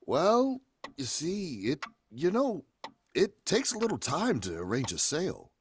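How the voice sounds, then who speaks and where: A man's voice: on the high side, wildly swooping pitch, measured pace, background noise, full character voice. An actor playing a hard-nosed, skeptical male estate agent in dramatic, emphatic old-time radio horror style. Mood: reluctant.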